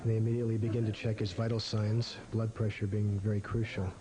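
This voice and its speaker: A man giving measured, formal, explanatory medical narration. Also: lowish voice, level tone. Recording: 1980s amateur video, busy room noise. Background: busy emergency room voices.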